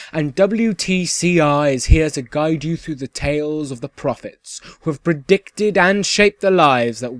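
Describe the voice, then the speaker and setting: A man's voice: mid-range voice, dry recording, lightly acted. A man playing a cheerful yet sinister dystopian radio host, delivering scripted propaganda in a theatrical radio-play style. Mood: solemn, reverent. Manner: theatrical, dramatic, swinging from grand proclamation to hushed menace. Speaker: radio announcer.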